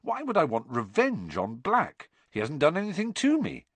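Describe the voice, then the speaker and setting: Guy doing a hot tempered schoolboy hero for an audiobook, blunt, higher voice, dry sound. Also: wildly swooping pitch, moderate pace, husky, no echo, full character voice. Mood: puzzled.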